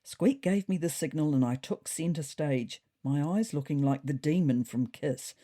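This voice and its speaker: A woman's voice: low voice, measured pace, warm tone. A woman voicing a wry, self-important male cat telling his diary in a dry, mock-serious way for a comedy story.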